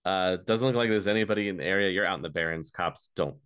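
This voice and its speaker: A man narrating a tabletop role-playing game as game master, casual and halting, pausing mid-sentence as he improvises. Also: low voice, fairly bright tone, clean tone.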